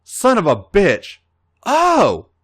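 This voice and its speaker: A man's voice: high voice, wildly swooping pitch, drawn-out words, fairly bright tone, pitched above the performer's own voice, full character voice. A man voicing a blunt, foul-mouthed, exasperated male pro wrestler in an audio story. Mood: worked up.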